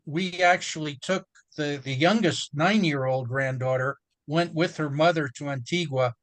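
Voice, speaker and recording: A man's voice: on the high side, moderate pace, no room sound, poor audio. An older man talking earnestly as a guest on a panel chat.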